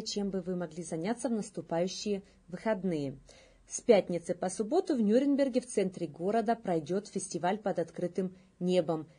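Husky, mellow woman reading news. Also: mid-range voice. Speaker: news presenter.

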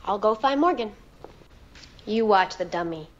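An actress playing a plucky, insistent young monster hunter in a television drama, plain-spoken. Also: female voice, medium pitch, animated, unhurried, lightly acted. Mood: firm. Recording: muffled TV playback, faint noise.

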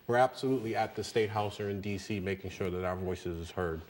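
A man's voice: low voice, fast talker, a little mellow. A man in his thirties, a union leader speaking earnestly as a guest in a TV studio interview. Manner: earnest, repeats words when emphasizing. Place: small TV studio.

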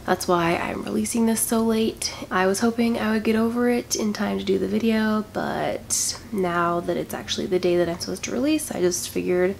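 Young woman, nasal from a cold, gravelly, slow and halting, grieving, faint hiss. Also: mid-range voice. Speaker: vlogger. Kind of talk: personal vlog, talking to camera. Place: indoors, small room with patterned backdrop. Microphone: camera in front, head-and-shoulders framing.

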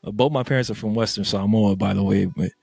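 A man's voice: on the low side, steady tone, fairly quick, gravelly, darkish tone, breathy edge, no echo, faint music behind. A man hosting a podcast, laid-back and casual, asking questions at home on a studio mic over a video call. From United States, Army family. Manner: laid-back, many "you know" fillers. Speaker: podcast host. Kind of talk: casual interview chat. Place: home room, indoors. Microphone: studio mic with headset, video call.

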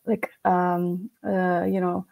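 A woman in her forties with an Indian accent, a podcast guest talking over a headset on a video call in a home room, chatty and quick to laugh, with many fillers. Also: lowish voice, little pitch movement, gravelly, darkish tone. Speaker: podcast guest, company founder. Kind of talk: casual interview chat. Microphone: headset with mic.

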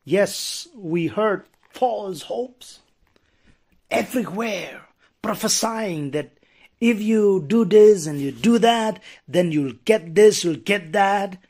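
A man's voice: high-pitched, slow, a little mellow. A middle-aged man preaching an impassioned sermon as a pastor, with repeated restarts and rising emphasis, recorded on a lapel mic. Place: wood-paneled church pulpit indoors, later outdoors on a hillside.

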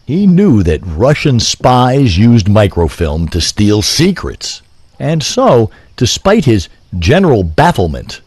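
A man reading a scripted story in a literary, deliberate storytelling manner. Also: mid-range voice, darkish tone, breathy edge, dry recording.

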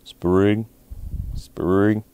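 A man voicing a slow, croaking frog from a children's storybook. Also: on the low side, little pitch movement, mellow. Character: slow, low-voiced frog in a storybook bog.

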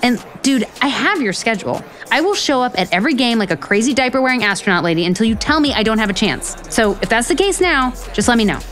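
A woman's voice: fairly high pitch, very animated, fast, few pauses, fairly bright tone. An actress playing an earnest, self-deprecating woman author in a romantic comedy audio drama, frank, rambling and profane. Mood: apologetic, nervous.

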